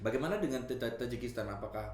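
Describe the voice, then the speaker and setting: A man's voice: medium pitch, expressive, racing, darkish tone. A man hosting a casual interview, prompting his guest with friendly questions. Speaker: host. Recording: echoey room.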